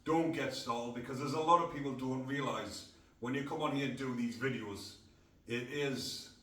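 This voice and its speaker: A man's voice: medium pitch, moderate pace, fairly bright tone. A middle-aged man from North East England, hosting a casual podcast in a blunt, rambling way. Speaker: podcast host. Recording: echoey room, distant mic.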